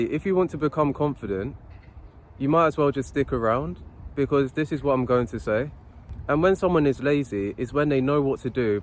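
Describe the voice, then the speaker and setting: A young man talking to his phone camera on a city street, giving unscripted self-help advice in an earnest, repetitive, preachy way. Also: medium pitch. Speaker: motivational vlogger.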